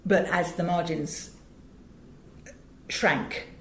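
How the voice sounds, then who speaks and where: A woman's voice: lowish voice, steady tone, very slow, creaky, a bit bright. A middle-aged woman with a British English accent answering interview questions conversationally, with emphatic storytelling and frequent asides. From England. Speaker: interview guest, audiobook director and producer. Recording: roomy echo, faint background noise.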